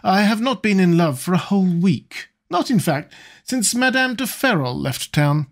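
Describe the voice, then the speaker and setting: A man voicing a quiet, distracted young gentleman who murmurs his lines. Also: high-pitched, expressive, normal speed, voice pushed up. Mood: murmuring. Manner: soft, murmured delivery.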